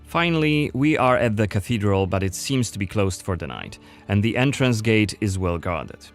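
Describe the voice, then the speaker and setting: A man's voice: fairly low pitch, very animated, moderate pace, faint music behind. A man narrating a scripted gameplay showcase as its presenter.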